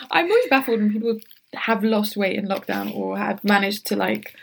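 A young woman co-hosting a casual podcast chat, relaxed, laughing easily and using many fillers. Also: mid-range voice, normal speed, gravelly, slight background noise. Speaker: podcast co-host.

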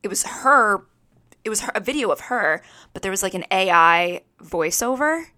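A woman's voice: mid-range voice, lively intonation, normal speed, pushed and bright, no room sound, clean studio sound. A woman co-hosting a casual podcast, bubbly and rambling with lots of "like.". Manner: bubbly, rambling, many "like"s and restarts. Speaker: podcast co-host. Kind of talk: casual chat.

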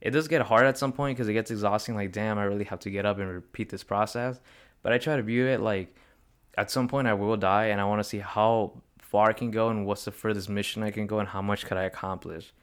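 A man's voice: on the low side, fairly even intonation, a little fast, clear, resonant tone. A man in his twenties hosting a solo motivational podcast, talking in an earnest, rambling way and often correcting himself mid-sentence. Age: 20s. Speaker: podcast host.

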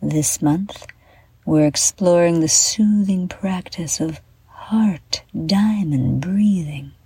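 A woman guiding a children's bedtime meditation in a soft, breathy half-whisper, gently and soothingly, with deliberate pauses. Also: fairly low pitch, no echo. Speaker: meditation guide and show host.

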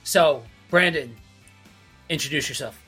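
A man hosting a faith podcast, introducing a guest in a slow, measured way with small fillers. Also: on the high side, sharp tone, slightly rough, soft background music. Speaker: podcast host.

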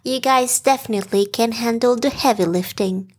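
A woman's voice: on the high side, moderate pace, husky. A woman voicing a determined, organizing female hoofed animal in a children's story, decisive and upbeat. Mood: take-charge.

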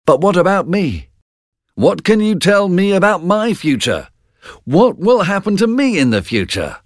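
A man's voice: high voice, sing-song, unhurried, no echo, studio quality, voice pushed up. A bold, curious nobleman presses for answers in a raised, eager voice, as a man acts him out. His delivery swings up and down as he asks question after question. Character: bold, curious Scottish lord Banquo.

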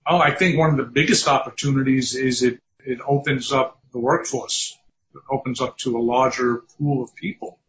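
A man's voice: mid-range voice, fairly even intonation, moderate pace, fairly bright tone. An older man with a New York accent, a recruiting firm founder talking as a podcast guest over a remote call, chatty with dry self-deprecating asides. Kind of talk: podcast interview. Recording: dull lo-fi remote audio.

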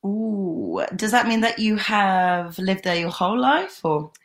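A woman's voice: mid-range voice, unhurried. A woman in her thirties hosting an interview, enthusiastic and full of exclamations. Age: 30s. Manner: enthusiastic, gushing. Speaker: podcast host. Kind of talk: casual interview chat.

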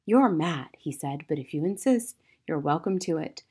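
A woman voicing a blunt male farmer in a storybook, plain-spoken, gruff and emphatic. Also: sits low, lively intonation, moderate pace, dark tone, heavily acted. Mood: grudging.

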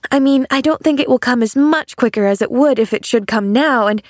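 Woman voicing an eager, high-pitched little girl. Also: fairly quick, no room sound, studio quality, natural voice. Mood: earnest, chatty. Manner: eager, rambling, earnest. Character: cheerful, chatty, naive little girl, eager to please. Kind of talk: audiobook character dialogue.

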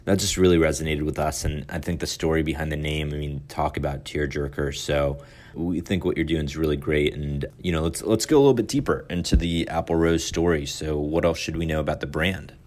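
A man's voice: rumbling low, fairly even intonation, a little fast, a little mellow. A man hosting a podcast interview, upbeat, with filler words. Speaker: podcast host.

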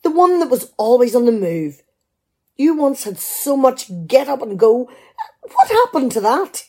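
A woman's voice: high-pitched, sing-song, a little slow, a little mellow, slightly rough, full character voice. A middle-aged woman, playing a shrewd, teasing, practical wife in a radio play. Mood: exasperated, suspicious. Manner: dry, bantering, probing. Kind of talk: amateur radio play dialogue.

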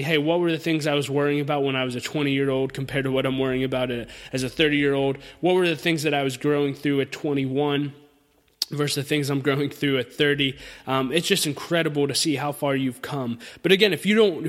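A man's voice: a little high, in a large hall. A thirty-year-old man hosting a solo podcast, speaking informally and earnestly to listeners in an encouraging, conversational lesson style. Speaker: podcast host.

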